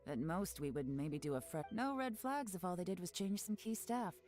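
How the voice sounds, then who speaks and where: A woman voicing a composed adult female spy who investigates calmly and speaks in a measured, knowing way in a video game. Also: sits low. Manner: calm, measured, quietly knowing.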